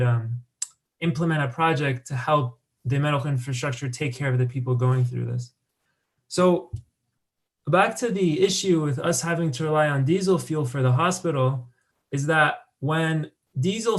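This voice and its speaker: A young American man giving a conference talk about his work, speaking loosely with many fillers and restarts. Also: a little high. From United States. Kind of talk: conference presentation with slides.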